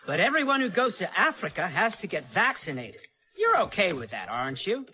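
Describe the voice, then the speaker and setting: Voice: medium pitch, huge pitch swings, fairly quick, crowd noise. An actor voicing a playful, teasing father of a young boy in a children's cartoon dub.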